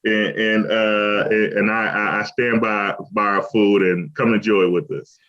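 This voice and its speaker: A man who owns a restaurant, talking in a relaxed, rambling way with lots of fillers as an interview guest, from Cleveland. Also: fairly low pitch, a little slow. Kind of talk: casual interview talk. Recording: lo-fi muffled audio.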